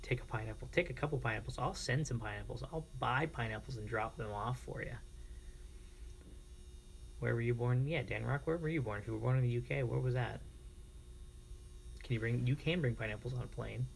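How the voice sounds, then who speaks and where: A man with an American accent talks casually to his livestream viewers. His voice is middling in pitch and quite gravelly, with lots of vocal fry. A faint electrical hum sits under the recording. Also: a little fast, pauses often, rough recording. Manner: informal, chatty, reads and reacts to viewer comments. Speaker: live streamer.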